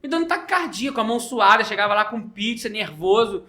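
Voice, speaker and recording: A young doctor from Rio de Janeiro with a mild Carioca accent, telling stories and joking in a casual podcast chat. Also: male voice, high-pitched, fairly quick. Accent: mild Carioca Brazilian Portuguese. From Rio de Janeiro, Brazil. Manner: joking, animated storyteller. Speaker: pediatric intensive care doctor, guest.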